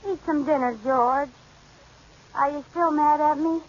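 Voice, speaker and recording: A scatterbrained sitcom housewife with a high, bright-pitched voice and a cheerful, muddled way of explaining herself. She sounds like a 1940s radio comedy. The recording is dull and hissy. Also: steady tone. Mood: contrite. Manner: flustered, cheerfully muddled, quick excuses. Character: scatterbrained young housewife playing secretary.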